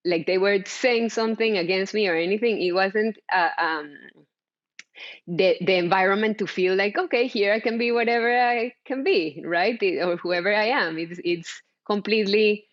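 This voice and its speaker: A woman's voice: medium pitch, normal speed. Woman, lo-fi sound. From Colombia. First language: Spanish. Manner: searching, with many restarts and "right?". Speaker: panelist, DEI practitioner. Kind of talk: personal story in panel talk. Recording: dull lo-fi sound.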